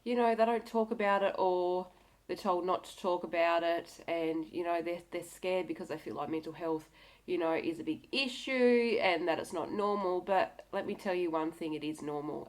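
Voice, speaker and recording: Woman, fairly low voice, nasal from a cold, earnest, encouraging, candid vlog talk. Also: moderate pace, rough recording. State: has a cold. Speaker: video blogger. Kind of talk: candid personal talk to camera.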